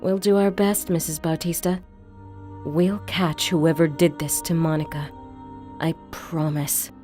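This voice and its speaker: An easygoing female police detective played by an actress talks in a slightly low voice over faint music. She comes across as quick-witted and compassionate, as in an audio crime drama. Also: level tone, a little mellow. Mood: sympathetic.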